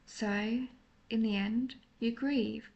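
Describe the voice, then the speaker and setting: Woman acting a centuries-old female vampire, tender, melancholy, higher-pitched, gently creaky, hushed confessional delivery, audio roleplay. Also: steady tone, takes it slowly, dull audio. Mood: melancholy, lonely. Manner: soft, confessional, partly whispered. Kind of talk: intimate scripted audio roleplay monologue.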